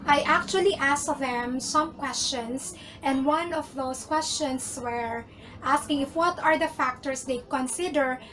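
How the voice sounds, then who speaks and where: A woman's voice: sits high, a little slow, fairly bright tone, low-quality recording. A young woman presenting to camera as host. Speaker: host, online teacher. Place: indoor room.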